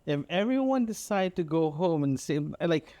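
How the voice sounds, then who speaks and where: A man's voice: on the high side, expressive, moderate pace, dark tone, no room sound. A man from Canada hosting a casual audio diary, telling everyday stories in a rambling, repetitive, mildly exasperated way with frequent check-ins to the listener. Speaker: podcast host.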